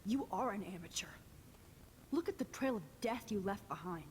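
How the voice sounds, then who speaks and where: An actress playing a defiant, distraught teenage girl held captive in a video game, speaking in an intense, hushed, accusing way. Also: mid-range voice, animated, moderate pace, slight background noise, close to an everyday voice. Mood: defiant, angry. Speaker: heroine in a story video game. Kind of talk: dramatic video game acting.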